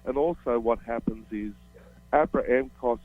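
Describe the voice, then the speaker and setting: A man's voice: medium pitch, normal speed, dry recording. Australian man calling in on a tinny phone. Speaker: radio show caller. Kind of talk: casual phone-in talk. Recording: narrow tinny phone line.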